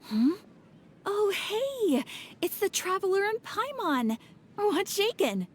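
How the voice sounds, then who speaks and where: A woman voicing a relaxed young receptionist on her break, casual and a little philosophical. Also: extremely high pitch, slow, slight background noise, full character voice. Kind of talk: game cutscene voice acting.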